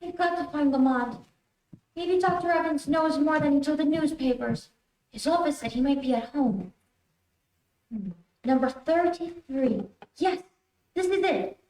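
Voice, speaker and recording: A woman acts as a worried young heroine in a vintage radio serial. Her high voice is insistent and fretful yet determined. She is heard from a little way off in a roomy space. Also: unhurried, long pauses, darkish tone. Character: anxious, determined young lady searching for a missing friend. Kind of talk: 1930s radio drama acting. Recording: roomy, distant-miked stage recording.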